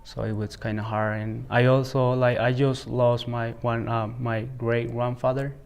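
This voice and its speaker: Teen student, Salvadoran accent, halting and filler-heavy, flat delivery, clear but gravelly tone. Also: male voice, medium pitch. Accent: Salvadoran Spanish. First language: Spanish. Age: teens. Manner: halting, many fillers like "like" and "you know". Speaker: high school student. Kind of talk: interview answers. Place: school, indoors.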